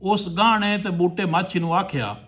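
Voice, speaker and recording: A man's voice: sits high, normal speed, fairly bright tone. A man telling a folk tale to listeners as a storyteller, in short rustic phrases.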